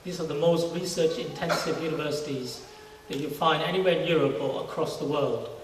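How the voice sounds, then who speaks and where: Middle-aged male guest from Singapore, slightly high voice, explaining conversationally, recorded at a distance in a reverberant space. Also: a little fast. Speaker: interview guest, university research scientist. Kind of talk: informal testimony interview. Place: large church hall, indoors. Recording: very echoey church hall, distant mic, faint noise.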